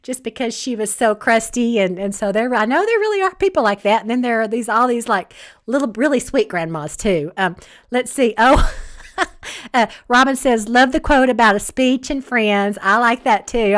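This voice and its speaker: A woman with an Alabama Southern accent chats casually, reading viewers' comments aloud as she goes. Her voice sits a little high and runs loose and rambling, full of ums and y'alls. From Northeast Alabama. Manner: chatty and rambling, many ums and restarts, says y'all. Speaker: author hosting a live book chat.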